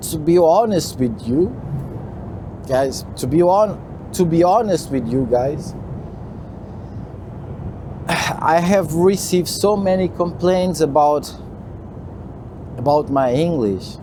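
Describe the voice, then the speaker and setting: A man from Portugal with a Portuguese accent, a truck driver talking casually to his viewers, halting and repeating himself, with "you know" tucked into most lines. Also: on the high side, lively intonation, noisy background. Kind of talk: casual talk to camera while driving. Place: truck cab on the road.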